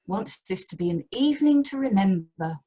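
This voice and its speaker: An amateur actress playing a put-upon, placating middle-aged British wife in a radio play. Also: female voice, medium pitch, lively intonation, normal speed, mellow, slightly rough. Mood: weary, confiding. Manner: harried, conciliatory.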